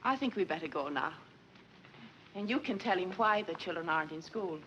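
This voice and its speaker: A gentle nun. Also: female voice, fairly low pitch, lively intonation, a little fast. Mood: earnest. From Sweden. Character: gentle, faithful nun, young adult, quietly determined. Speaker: nun and school head. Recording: dull 1940s film soundtrack, faint hiss.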